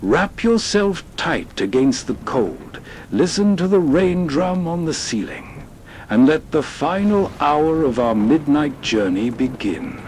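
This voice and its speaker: A man hosting a nostalgic radio program, reading atmospheric scripted narration in a deliberate, evocative storytelling way. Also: a little high, expressive, a little mellow, a little hiss or hum.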